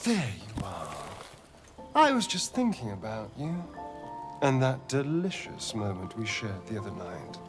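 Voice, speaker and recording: Sly vampire, vain, flirtatious, unhurried, widely swooping pitch, video game drama. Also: male voice, big pitch swings, over music. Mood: seductive. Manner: theatrical, flirtatious, arch, drawn-out delivery. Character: sly, vain male vampire, centuries old, hungry for power.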